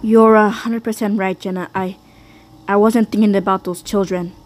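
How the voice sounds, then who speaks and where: A woman's voice: medium pitch, fairly even intonation, moderate pace, close to an everyday voice. A woman voicing an arrogant, taunting male sled dog in a cartoon fandub, with a smug, mocking drawl. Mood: commanding, scornful. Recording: noisy amateur fandub recording.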